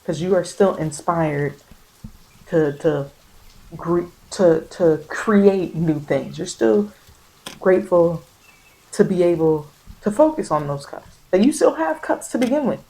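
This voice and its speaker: A woman's voice: on the low side, animated, a little slow, mellow, low-quality recording. A woman giving an informal, encouraging tarot reading in ASMR style, speaking colloquially and repeating phrases for emphasis. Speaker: tarot card reader and host. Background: bird and rain sounds.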